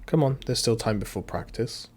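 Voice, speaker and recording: A man's voice: fairly low pitch, expressive, normal speed, breathy edge. A man streaming a visual novel, reading the translated lines aloud with playful, jokey asides. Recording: voice mixed with loud game music. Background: loud music.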